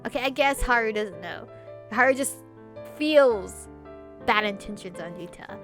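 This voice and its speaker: A woman with a fairly high voice hosts a visual novel playthrough. She reads along and reacts expressively and dramatically. Game music plays clearly behind her. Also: animated, unhurried, a bit bright. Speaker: gaming video host.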